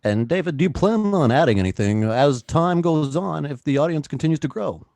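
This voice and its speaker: A man's voice: a little high, lively intonation, brisk, creaky, a little mellow, no room sound. An American man co-hosting a livestream in a chatty, informal way, sometimes stumbling over the starts of words. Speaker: co-host. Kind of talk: casual livestream chat. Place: at home on a multistream video call.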